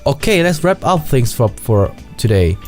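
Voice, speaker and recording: A German-accented man reads a game's story aloud at an ordinary pitch. His delivery is careful and now and then halting, as a second-language speaker. Faint music runs underneath. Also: sing-song. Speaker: game streamer reading a visual novel. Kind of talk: reading a visual novel aloud with asides.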